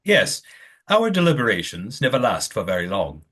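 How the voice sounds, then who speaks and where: A man playing a calm, secretive leader of a commune in an audio play, speaking in a formal, measured, evasive way. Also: on the low side. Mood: composed, formal.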